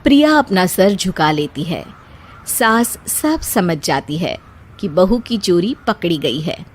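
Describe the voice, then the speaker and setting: A woman narrates a children's moral story. She reads from a script at a slow, measured pace, as a storyteller setting out each scene. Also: on the low side, animated, slight background noise.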